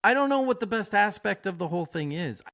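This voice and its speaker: A man hosting a podcast, chatting loosely and joking with his co-hosts. He talks in an animated way and swears freely. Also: sits high, quick pace, creaky. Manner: animated, swears often, jokey. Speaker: podcast host. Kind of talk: casual chat.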